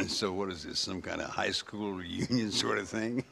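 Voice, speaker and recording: An actor playing a world-weary, wry old American gunslinger with a gravelly drawl in a western video game. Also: male voice, low-pitched, moderate pace, a bit bright, a little hiss or hum, exaggerated voice. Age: older. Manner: wry, drawling, chuckling cynicism.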